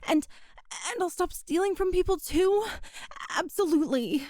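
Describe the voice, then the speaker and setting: A woman's voice: very high-pitched, lively intonation, normal speed, a little mellow, dry recording, studio quality. A woman voicing a young cat-girl burglar who is sly but pitiful, talking in a sheepish, flustered, defensive way, for an audio roleplay. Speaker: ASMR roleplay performer.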